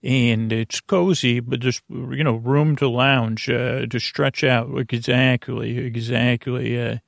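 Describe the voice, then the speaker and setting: Creaky-voiced man rambling as a podcast host. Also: medium pitch, little pitch movement, no echo, studio quality. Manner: meandering, halting, drawn-out delivery with asides. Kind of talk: rambling bedtime sleep podcast monologue.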